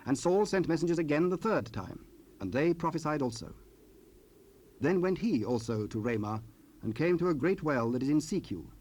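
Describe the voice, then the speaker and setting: A man's voice: medium pitch, darkish tone. A man narrating scripture from a script in a solemn, formal storytelling style.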